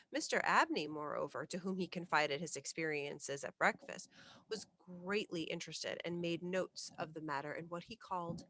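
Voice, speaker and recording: A woman reading a classic ghost story aloud as scripted bedtime narration, in a measured, literary storytelling manner. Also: fairly low pitch, a bit bright. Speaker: storyteller, reader of ghost stories.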